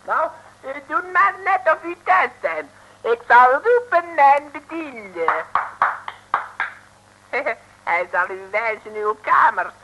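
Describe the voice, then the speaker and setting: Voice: sits high, expressive, slow, bright, forceful tone, a little hiss or hum, full character voice, rough recording. A performer in an old radio play voicing a falsely humble, fawning Chinese mandarin who speaks in broken pidgin phrases. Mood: eager. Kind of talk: 1960s radio play acting.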